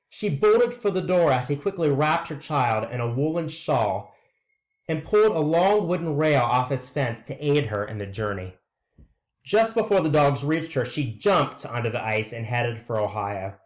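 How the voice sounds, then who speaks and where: A man reading aloud in a scripted narration style, presenting a written story to listeners. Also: a little high. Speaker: reader presenting a read-aloud.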